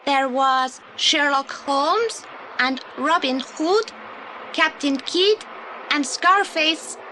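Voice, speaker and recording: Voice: sits high, very slow, pushed and bright, slight background noise, full character voice, low-quality recording. An actor playing an earnest, polite young boy with a Spanish accent and broken English in an audio play. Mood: earnest, eager. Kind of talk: 1980s audio play acting.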